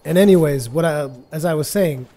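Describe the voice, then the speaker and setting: Higher-pitched man, mellow, chatty. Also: fairly quick. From Portugal. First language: Portuguese. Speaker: gaming video host. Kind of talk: casual chatty gameplay commentary.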